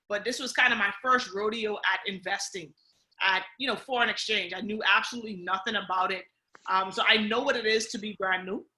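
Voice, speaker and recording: A woman's voice: medium pitch, bright, forceful tone, reverberant, low-quality recording. A woman with a Bahamian accent leading a team training call, upbeat and coaching, often checking in with "okay?" and "guys.". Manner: upbeat, coaching, repeats key points, frequent "okay?" and "guys".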